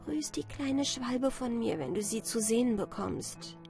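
A woman voicing a tiny, sad, gentle storybook girl, speaking wistfully. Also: a little high, lively intonation, a little slow, creaky, soft background music, voice pushed up.

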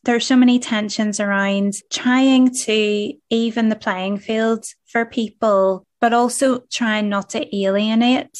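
A woman's voice: fairly high pitch, fairly even intonation, slow pace, few pauses. A woman from the UK hosting a podcast interview, chatty and wry, laughing easily as she asks questions. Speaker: podcast host. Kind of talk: conversational interview.

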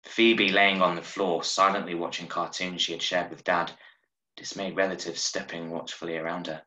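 A man in his thirties reading a short story aloud in a measured, dramatic way, recorded at home over a video call. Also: deep voice, flat delivery, bright, forceful tone. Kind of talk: expressive audiobook-style story narration. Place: small room at home, indoors. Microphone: video call from a desk, wearing earphones. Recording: roomy, echoing home webcam recording.

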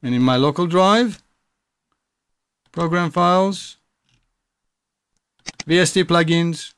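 A man in his thirties with a fairly high voice talks through a tutorial in a casual, explanatory way. The sound is dry and close, as in a small room. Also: slow, a bit bright, clean tone, dry recording. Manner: explanatory, step-by-step. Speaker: tutorial presenter.

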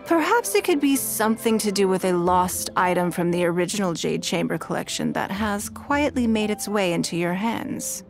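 A woman voicing a calm, shrewd, wealthy noblewoman in a game, speaking in a measured, commanding way, with music behind her. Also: mid-range voice, close to an everyday voice. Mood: cool. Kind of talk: game dialogue voice acting.

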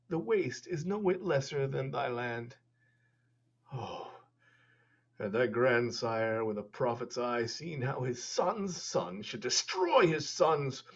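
A dying, prophetic old duke who pleads and scolds in slow, declaiming verse. His voice sits fairly high for a man and moves at a very measured pace. The sound is muffled, as over a video call. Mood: scolding. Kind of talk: Shakespeare staged reading. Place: home room.

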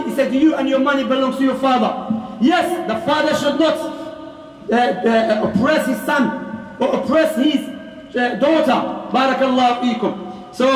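A man in his thirties giving a Friday sermon in a mosque hall, speaking with passion and often rising to a shout. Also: very high-pitched. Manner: impassioned, often raises voice to a shout. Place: mosque prayer hall with plain walls. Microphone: handheld mic on stand, filmed from across room. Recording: echoey hall, distant mic.

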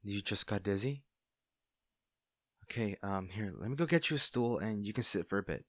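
Man playing young male barista, friendly and caring, lowish voice, dry close sound, casual hesitant delivery, audio roleplay. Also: moderate pace, a bit bright, dry recording. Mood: concerned, gentle. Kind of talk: intimate audio roleplay acting.

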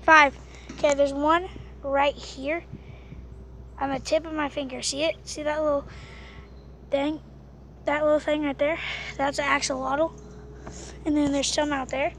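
A woman with a high, gravelly voice films an outdoor vlog on a handheld phone beside a pool. She talks loosely, full of fillers, and drops to a hush while she searches the water. Faint outdoor noise sits behind her. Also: little pitch movement, a little slow, pauses often. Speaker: vlogger. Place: outdoors by an abandoned pool on rural land. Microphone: handheld camera phone filming the water.

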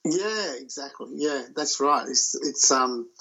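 A man talking about his sailing voyage as an interview guest, telling it in a halting, loose way. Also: fairly high pitch, animated, sharp tone, poor audio. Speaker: solo sailor guest. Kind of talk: casual interview chat.

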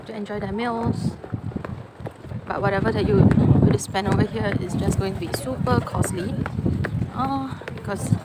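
A woman's voice: mid-range voice, steady tone, normal speed. Chatty woman vlogging outdoors. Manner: chatty, laughs easily, confiding asides to viewers. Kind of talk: casual travel vlog narration. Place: outdoors on a windy city harbourfront. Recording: loud wind and street noise.

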